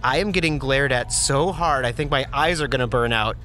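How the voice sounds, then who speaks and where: A man's voice: medium pitch, fairly quick, pushed and bright, faint music behind, close to an everyday voice. An actor playing a chatty, joking office worker who laughs at his own puns in an audio drama. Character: goofy pun-loving male office worker Andy. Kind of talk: audio drama interview monologue.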